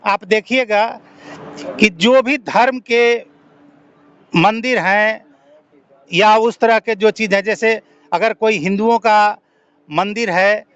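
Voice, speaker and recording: A man's voice: high voice, slow, long pauses, a bit bright, clean tone, dull audio. A man with a Bihari accent speaking spontaneously as an interviewee, making his case as an activist with rhetorical questions. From Bihar, India. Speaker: interviewee, social activist and former government officer.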